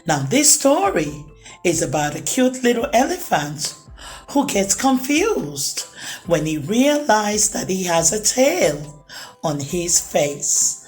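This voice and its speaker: A woman narrating a children's storybook as a storytime host, with expressive, deliberate phrasing for young listeners. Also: medium pitch, soft background music, low-quality recording. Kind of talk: children's storybook read-aloud, rhyming narration.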